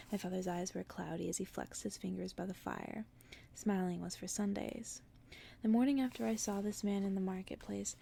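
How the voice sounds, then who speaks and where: A woman reading a story aloud in a soft, breathy ASMR whisper, hushed and gentle. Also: mid-range voice, slight background noise.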